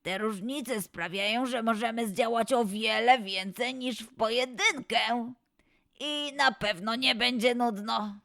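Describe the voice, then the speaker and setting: A woman voicing a brave lion detective in a children's audio story, speaking slowly and kindly. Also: a little high, gravelly, sharp tone, full character voice. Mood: friendly.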